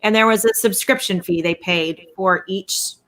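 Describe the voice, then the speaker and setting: A woman's voice: medium pitch, moderate pace, a bit bright. A woman hosting an interview on a video call, explaining things plainly and encouraging her guest. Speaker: interviewer host. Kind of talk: casual interview talk.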